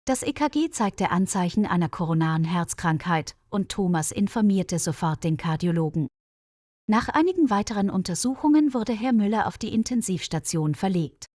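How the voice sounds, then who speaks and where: A woman narrates a story in a clear, measured way. Her pitch moves in lively swings. The sound is dry and close, with no room around her. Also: no echo. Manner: clear, measured reading with quoted dialogue lifted higher. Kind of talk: scripted story narration for German learners.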